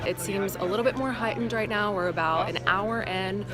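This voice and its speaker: A woman's voice: mid-range voice, normal speed. A woman field reporter giving a hesitant, unscripted update outdoors at a protest. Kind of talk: live-style news report with hesitations. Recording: loud outdoor crowd and music. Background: loud crowd, music.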